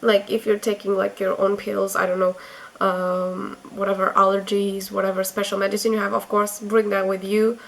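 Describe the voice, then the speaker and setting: A woman's voice: mid-range voice, flat delivery, moderate pace, creaky. A young woman from Portugal with a Portuguese accent, giving chatty advice in a casual vlog, full of fillers. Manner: chatty, many fillers and restarts. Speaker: vlogger.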